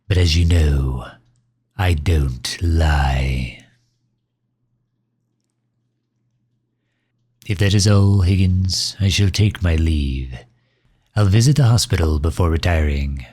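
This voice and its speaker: A man playing a courtly megalomaniac villain in an ASMR audio drama, speaking formally and with measured, gentle solicitude. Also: no echo, clean studio sound, exaggerated voice. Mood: smug, composed. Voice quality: husky, low. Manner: formal, measured, silky endearments.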